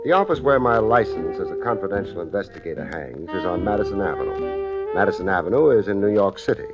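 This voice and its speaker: A man acting a wry, unflappable private detective with deadpan wit in a 1950s radio drama. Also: low-pitched, quick pace, over loud music. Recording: dull old radio recording.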